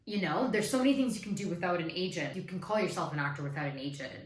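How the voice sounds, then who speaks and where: Raspy young woman, emphatic, in echoey room. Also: fairly low pitch, fast talker. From Canada. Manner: emphatic, repeats points for stress. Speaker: actor and video host. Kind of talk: advice vlog monologue. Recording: echoey room, faint music.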